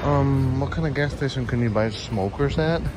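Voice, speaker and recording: A young man vlogging casually into a phone held at arm's length in a parked car, chatting in an animated, rambling way. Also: medium pitch, moderate pace, over music. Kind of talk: casual vlog chat.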